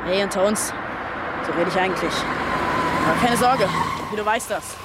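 An actor playing a cocky young man, a brisk and precise planner with a clipped, teasing manner, in a naturalistic German radio drama. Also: sits high, heavy background noise, close to an everyday voice. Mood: brisk, decisive.

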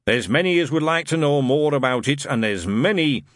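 A man voicing a testy older man with a shifting British regional accent who rumbles and barks questions. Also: animated, normal speed, fairly bright tone, dry recording, clean studio sound. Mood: gruff. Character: gruff, testy older man, a shouting drill-sergeant type.

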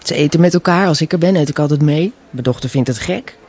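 A woman playing a wistful, gently stubborn mother in her fifties in a Dutch radio drama, with a husky voice. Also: low-pitched, quick pace. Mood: wistful, chatty. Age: middle-aged. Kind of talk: Dutch radio play dialogue, naturalistic.

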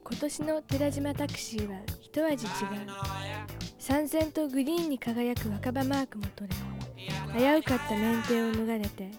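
A woman's voice: high voice, a little slow. A woman playing a grandiose, theatrical narrator of a comic radio commercial in an amateur drama. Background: clear music.

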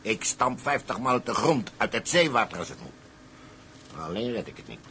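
An actor playing a boastful, wily older tugboat skipper with a salty, colloquial way of telling things. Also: male voice, lowish voice, sing-song, a little fast, bright, forceful tone, slight background noise. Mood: scheming, insistent. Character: wily, boastful old colonial tugboat skipper, a persuasive schemer. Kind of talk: dramatised dialogue, storytelling in character.

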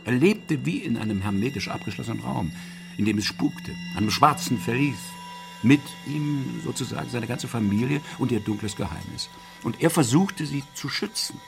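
An actor playing an inquisitive, eccentric psychiatrist in a German radio crime drama, speaking deliberately and theatrically. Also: male voice, medium pitch, lively intonation, gravelly, music in the background. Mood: grave, emphatic. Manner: theatrical, deliberate, fond of tag questions. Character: inquisitive, eccentric male psychiatrist, measured and probing.

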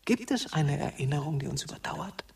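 A man's voice: fairly high pitch, expressive, a little fast, creaky. An actor playing a melancholy, questioning male wanderer in a radio drama, speaking in brooding, poetic phrases. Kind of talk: German radio drama acting. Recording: somewhat distant from microphone.

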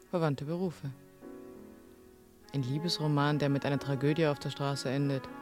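An actress playing a clever, teasing young woman journalist with a wry, probing, poised manner in a German radio crime drama. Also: deep voice. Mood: musing. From Florence, Italy (as the figure). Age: young adult.

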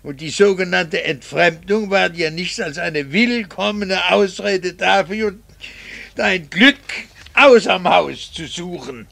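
An actor playing an embittered, coldly vengeful middle-aged husband in a radio play, sarcastic, theatrical and precisely articulated. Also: male voice, sits high, slow, vocal fry, bright, forceful tone, no room sound, full character voice. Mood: bitter, accusing. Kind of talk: German radio play acting.

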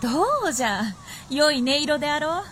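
An actress playing a playful, confident, centuries-old fox princess in a radio drama, with teasing, old-fashioned haughty speech. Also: female voice, high-pitched, brisk, fairly bright tone, faint music behind. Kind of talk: Japanese radio drama acting.

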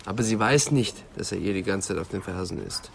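An actor playing a wry, teasing police assistant in a radio crime drama, talking in a colloquial, dryly ironic way. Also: male voice, low voice, animated, a little fast, vocal fry, slight background noise. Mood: dry, businesslike. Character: wry, teasing male police assistant reporting to his inspector.

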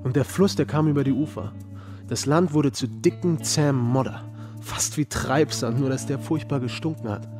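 A man's voice: mid-range voice, moderate pace, close to an everyday voice. Man acting a haunted young war veteran. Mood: brooding, rueful. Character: young male American Vietnam veteran, haunted, wry, self-doubting. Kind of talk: radio drama acting, storytelling. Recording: music behind the voice. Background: music.

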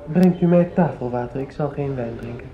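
A man's voice: medium pitch, brisk, close to an everyday voice. An actor playing a troubled, reserved young man, a grown son, in a radio drama. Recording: dull, noisy old radio play recording.

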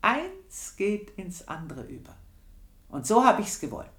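An older actress playing an old, scheming maidservant in a radio drama, brooding and intense, dropping into whispers. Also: female voice, mid-range voice, big pitch swings, a little slow, fairly bright tone, slightly rough, heavily acted. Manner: brooding, intense, shifts between whispers and outbursts. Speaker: old maid narrating her life. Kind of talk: 1980s radio drama acting.

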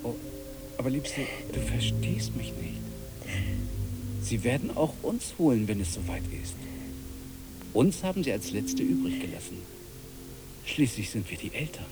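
An actor in a radio drama playing a devoted cockroach husband, anxiously optimistic, who reassures in a hesitant, earnest, self-correcting way. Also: male voice, mid-range voice, sing-song, unhurried, long pauses, over loud music. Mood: strained reassurance.

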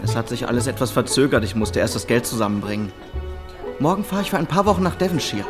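A man's voice: mid-range voice, animated, fast talker, close to an everyday voice. An actor playing a shy, good-natured young man, friendly and eager, in a German radio drama. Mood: eager, modest. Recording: music playing behind the voice.

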